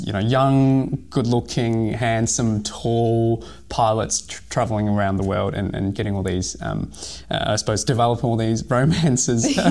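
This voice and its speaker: A man's voice: medium pitch, creaky, darkish tone. A man from Hong Kong in his thirties, a physiotherapist and pilot telling his story in a casual podcast interview, full of ums and restarts. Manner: rambling, many fillers and restarts.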